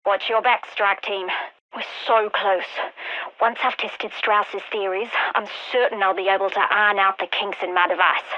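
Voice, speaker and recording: Woman acting a sharp, driven scientist, bright-voiced. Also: medium pitch, steady tone, normal speed, dull audio, lightly acted. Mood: urgent. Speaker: game character voice lines. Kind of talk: scripted video game voice acting.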